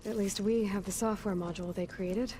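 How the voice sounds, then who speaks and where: A woman's voice: medium pitch, steady tone, a little fast, mellow, slight background noise, natural voice. An actress voicing a determined young female hunter and explorer in a video game, with a practical, purposeful delivery. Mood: focused, purposeful. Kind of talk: video game cutscene dialogue.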